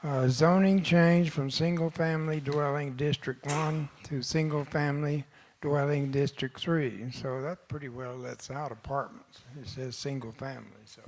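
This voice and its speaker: A man with a Texan accent chairing a city council meeting, speaking in a plain, folksy, halting way. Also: a little high, steady tone, a little hiss or hum. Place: council chamber.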